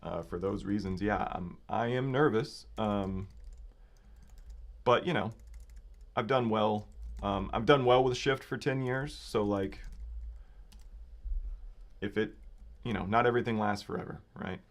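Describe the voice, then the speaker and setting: A man with a slightly raspy voice giving casual live coding commentary, thinking aloud informally as a developer hosting a livestream. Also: lowish voice, measured pace, long pauses. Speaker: livestream host and software developer. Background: computer keyboard typing and clicking.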